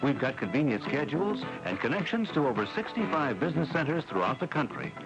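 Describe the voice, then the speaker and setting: A man's voice: a little high, very animated, fast, gravelly. A man reading a scripted 1980s radio commercial as an announcer. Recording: muffled AM radio ad over music.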